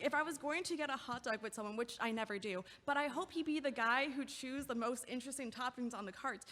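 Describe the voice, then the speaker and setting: A woman's voice: sits high, fairly bright tone. A woman playing a nervous, over-considerate young woman in an audio play, rambling and correcting herself as she over-explains. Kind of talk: audio play acting, comedic monologue. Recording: echoey hallway-like long reverb.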